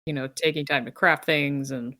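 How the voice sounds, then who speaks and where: Woman playing tabletop rpg, really low voice, casual, dry close sound. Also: steady tone, normal speed, sharp tone, no room sound. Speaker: player in a tabletop roleplaying game. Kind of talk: casual chat.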